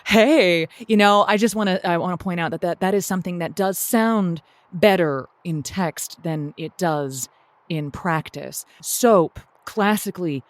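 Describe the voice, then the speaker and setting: Woman as folksy low-voiced advice host, close-miked. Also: no echo, close to an everyday voice. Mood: encouraging, earnest. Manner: halting, earnest, folksy advice-giving. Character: supportive, folksy, frank agony-aunt radio host. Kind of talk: improvised comedy advice chat.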